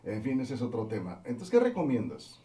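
A Mexican man hosts a radio chat in a relaxed, conversational way. His voice is dark and mellow, and it sounds set back from the mic, with the booth's echo around it. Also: medium pitch, fairly quick, far from the mic. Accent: Mexican Spanish. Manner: conversational, prompting questions. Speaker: radio host. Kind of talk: radio interview.

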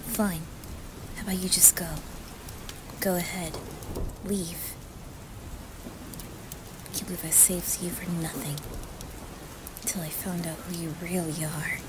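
A woman voicing a guarded teenage boy in a soft, breathy ASMR roleplay, speaking in a hushed, halting way. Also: fairly low pitch, level tone, heavy background noise. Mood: cold, accusing. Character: wary, guarded teenage boy who softens into apology. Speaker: roleplay voice performer. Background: rain and thunder.

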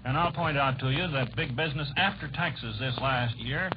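A man's voice: medium pitch, moderate pace. An American man arguing earnestly as a panelist in a 1940s radio political debate. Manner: earnest, argumentative. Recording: noisy, muffled 1940s radio recording.

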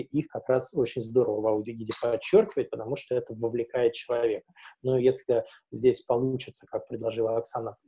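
A man's voice: mid-range voice, warm tone, slightly rough. A man speaking at a webinar, giving advice in an informal, instructive way. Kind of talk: informal explanatory talk.

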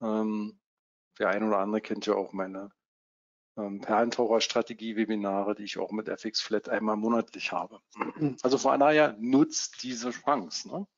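A man in his fifties from Berlin, giving a casual live webinar as a trading software coach, with many fillers. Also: fairly low pitch, steady tone, normal speed, highs cut off. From Germany (Berlin). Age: 50s. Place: home office.

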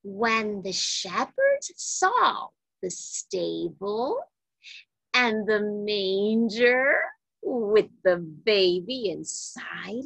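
A woman reading a children's Bible storybook aloud in a deliberate, emphatic way for young listeners. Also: on the high side, gravelly, fairly bright tone, husky, no echo, low-quality recording.

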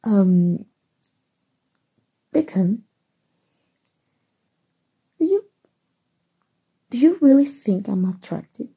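A shy, awkward gamer superheroine, played by a woman in an ASMR roleplay. Her voice is very soft and mellow, husky with breath and touched with creak. She speaks slowly and haltingly, stammering as she gets flustered. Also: mid-range voice, sing-song, exaggerated voice. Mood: flustered, smitten. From Mexico.

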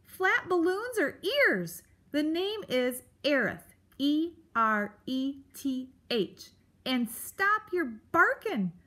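A woman's voice: sits high, big pitch swings, slow pace, pushed and bright, pitched above the performer's own voice, heavily acted. A woman voicing a grumpy, crotchety male animal in a children's storybook, snarling and snapping out insults. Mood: demanding. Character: grumpy old male porcupine-like beast, blustering, crotchety.

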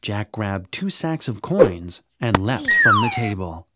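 A man narrating a scripted children's bedtime story in a dramatic, expressive way. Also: lowish voice, takes it slowly, heavy background noise. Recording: music under the narration. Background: music.

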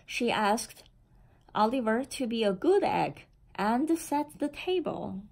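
Slow-paced woman reading a children's storybook. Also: a little high, creaky. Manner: deliberate, expressive storybook delivery. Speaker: read-aloud storyteller for children. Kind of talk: children's picture book read-aloud. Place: indoor room with framed certificates on the wall.

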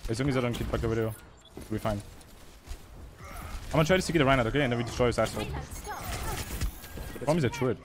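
A young man streaming a video game, calling to his team fast and excitably and swearing freely. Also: medium pitch. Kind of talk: live gameplay commentary with team callouts.

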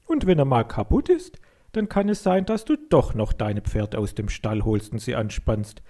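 A man's voice: mid-range voice, lively intonation, normal speed, warm tone. A man voicing a stubborn, hot-headed farm boy in a children's story, arguing emphatically. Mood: scornful, insistent. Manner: emphatic, argumentative.

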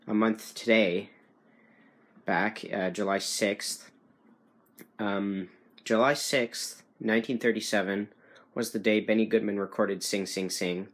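A young man giving a casual technical demonstration, halting and correcting himself, recorded indoors on a handheld camera. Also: lowish voice. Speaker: hobbyist radio restorer. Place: small furnished room indoors. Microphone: handheld camera, behind it.

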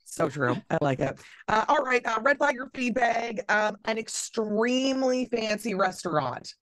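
A woman's voice: fairly high pitch, lively intonation, measured pace, fairly bright tone, no echo. A woman, a radio host chatting through an interview with lots of fillers and restarts, on a studio mic over a video call. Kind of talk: casual interview with games.

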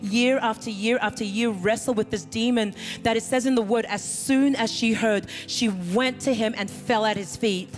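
A woman's voice: a little high, normal speed, hardly any pauses. A middle-aged woman pastor preaching a sermon on a church stage, holding a handheld mic, with earnest emphasis. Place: large church stage with band behind. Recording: reverberant hall with music.

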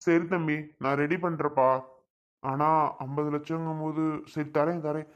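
A man's voice: on the high side, steady tone, a little slow, natural voice. A man playing a harried brother in a colloquial family drama about everyday troubles. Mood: uneasy, confiding. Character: harried adult brother in a family money dispute.

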